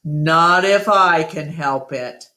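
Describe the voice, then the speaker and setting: A woman voicing an earnest, gentle male gorilla who speaks hesitantly as a protector in a children's storybook. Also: low-pitched, slow, full character voice. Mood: quietly resolute. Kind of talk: children's storybook read-aloud.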